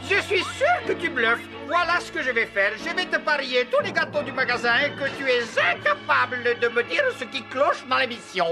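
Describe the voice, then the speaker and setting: Casting: boastful cartoon gambler, high-pitched, highly animated, blustering. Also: female voice, normal speed, few pauses, over loud music. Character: vain, excitable cartoon performer, fond of wagers. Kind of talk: French-dubbed children's cartoon.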